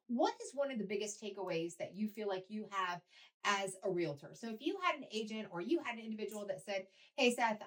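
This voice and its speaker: A woman hosting a podcast who talks at a brisk clip. Her pitch sits in the middle. She asks questions with upbeat encouragement. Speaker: podcast host. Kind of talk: podcast interview.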